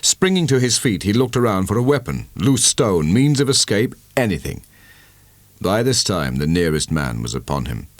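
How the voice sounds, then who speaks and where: A man narrating a literary audiobook in a measured, dramatic storytelling manner. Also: fairly low pitch, very animated, dry recording.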